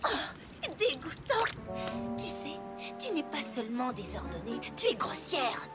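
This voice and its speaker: A woman's voice: high-pitched, big pitch swings, measured pace. A woman voicing a prim, fussy young mare pony in a French cartoon dub, with an affected, theatrical manner. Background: cartoon music score.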